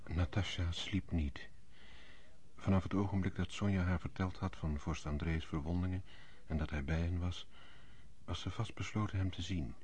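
A man with a husky voice giving scripted narration in a radio drama. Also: sits low, fairly even intonation, normal speed, a little mellow, slight background noise.

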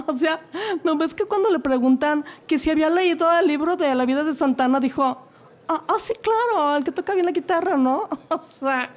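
A woman with a Mexico City accent playing a snobbish, mocking young woman who drawls and drops English words, giggling as she talks. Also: high-pitched. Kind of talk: comedic radio play acting.

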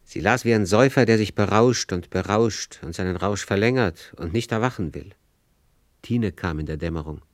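Deep-voiced male narrator, dry and measured. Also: no echo. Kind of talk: literary radio play narration.

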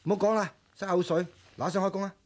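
A man acting in a radio drama as an exasperated young renovation worker with a soft heart, blunt and nagging in colloquial Hong Kong Cantonese. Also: high-pitched, steady tone, fast talker, close to an everyday voice. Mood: grumbling.

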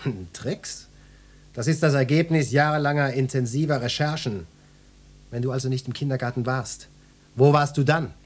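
An actor playing a brusque, swaggering male police inspector in a radio drama, gruff and bossy as he questions people. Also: medium pitch, moderate pace, lightly acted. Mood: bullying.

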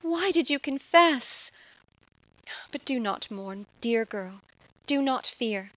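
Woman playing an anguished gentle young lady. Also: on the high side, lively intonation, takes it slowly, low-quality recording. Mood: tender. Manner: earnest, emotional, tender pleading. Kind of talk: audio drama acting.

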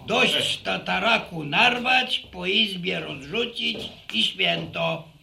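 A man's voice: sits high, animated, slow, creaky, bright, forceful tone, heavily acted. An actor playing a jovial old village grandfather in a radio drama, chuckling and rambling through sayings and tales. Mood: folksy, amused. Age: older. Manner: chuckling, folksy, rambling storyteller. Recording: roomy echo.

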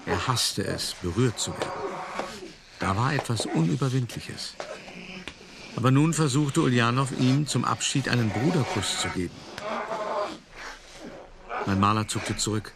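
A man playing a shrewd, worldly art dealer who recounts his past in a radio drama, in a wry, measured, conversational way. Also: medium pitch, expressive, gravelly, very noisy. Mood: confiding. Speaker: narrator. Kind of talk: radio drama monologue, first-person storytelling.

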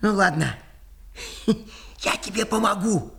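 An actor voicing a kindly, sly little wood-goblin in a children's audio fairy tale, speaking in an unhurried, conspiratorial way. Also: mid-range voice, expressive, creaky, fairly bright tone, full character voice. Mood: sly, reassuring.

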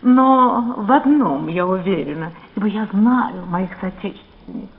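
A woman's voice: medium pitch, expressive, measured pace, close to an everyday voice. An actress playing an imperious, witty Elizabethan queen in a radio play, with a stately, wry, declamatory delivery. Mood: solemn, stately. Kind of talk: theatrical radio play acting. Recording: dull vintage radio drama recording.

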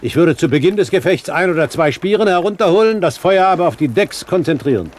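An actor playing an elderly gentleman pirate captain in a German radio play, courteous, composed and commanding, with formal, clipped delivery. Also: fairly high pitch, animated, fast, lightly acted. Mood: cool, commanding. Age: older. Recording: 1970s radio drama with background noise.

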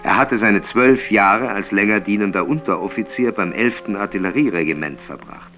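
An actor playing a melancholic, bitterly ironic writer who recites his works in a theatrical, deliberate way in a radio play. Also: on the low side, dull audio, soft background music, lightly acted. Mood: wry, storytelling. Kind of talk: literary radio play reading.

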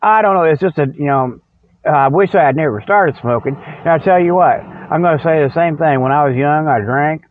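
Radio host, male, middle-aged: folksy, repetitive comic rambler, somewhat high-pitched, narrow telephone-grade sound with little room. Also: brisk, a little hiss or hum. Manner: folksy, repetitive, often checks with "okay". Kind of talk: rambling comedic monologue with spoof ads. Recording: muffled, phone-like radio sound.

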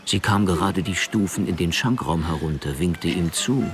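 A man's voice: low voice, moderate pace, crowd noise. A man narrating a crime radio play in a scripted, literary storytelling style.

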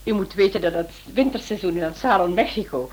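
An actress playing a charming, insistent Spanish club hostess in a radio play, effusive and persuasive, with a husky voice. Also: female voice, mid-range voice, quick pace, a little mellow, natural voice, low-quality recording. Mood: gracious.

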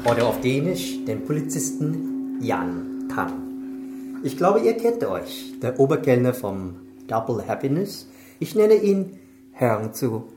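A man's voice: mid-range voice, big pitch swings, slow pace, over music. A man in a German radio crime drama playing a suave, menacing Chinese crime boss who is genial but insinuating and commanding. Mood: ceremonious, genial.

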